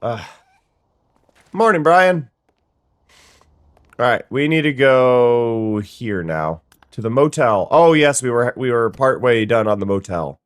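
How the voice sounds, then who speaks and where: Brief: young sniffly streamer, mid-pitch man's voice, chatty with restarts, dry booth sound. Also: lively intonation, slow, pauses often, no room sound. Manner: chatty, stumbling restarts, greets viewers. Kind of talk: casual gameplay chat.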